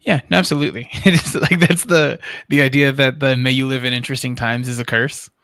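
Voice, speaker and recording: A man's voice: medium pitch, steady tone, fairly quick, a bit bright, dry recording, clean studio sound. A man chatting casually and asking questions as a livestream co-host.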